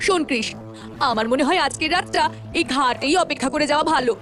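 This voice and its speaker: An anxious cartoon village boy, played by a woman, high and fast-talking. The delivery is earnest and cautious, with lively swings over faint music. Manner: earnest, explanatory. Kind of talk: Bengali village cartoon dialogue.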